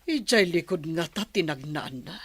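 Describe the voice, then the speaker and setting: A woman's voice: lowish voice, animated, moderate pace, a bit bright, slightly rough, no echo, full character voice. An actress with a Filipino accent playing a scheming, evasive mother in a radio drama. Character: scheming mother making excuses to her child.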